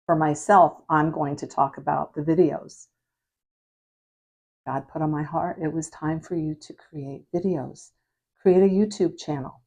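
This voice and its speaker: A woman delivering an earnest devotional message, her voice raspy and hoarse as she recovers from illness, often trailing softly at the ends of phrases. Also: deep voice, steady tone, unhurried. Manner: prayerful, reflective. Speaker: preacher.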